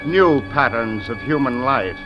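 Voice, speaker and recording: An actor playing a solemn storyteller, narrating a 1950s radio drama in a portentous, scripted style. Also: male voice, mid-range voice, lively intonation, unhurried, natural voice. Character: solemn storyteller of a frontier tale. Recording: muffled vintage radio recording. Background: loud dramatic music.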